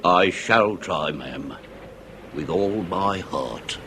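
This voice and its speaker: An actor playing a grave, implacable but just police inspector in a radio drama, with a British accent and formal, deliberate, theatrical speech. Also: male voice, low voice, animated, noisy background, heavily acted. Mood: solemn compassion.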